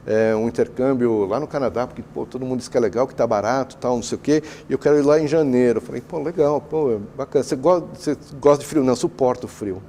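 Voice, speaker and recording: Brazilian man, consultant guest on a TV talk show, warm mellow tone, lively anecdotes, faint hiss. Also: medium pitch, fast talker, few pauses. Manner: animated, chatty, acts out client dialogue. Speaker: international education consultant. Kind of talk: TV interview answers. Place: TV studio.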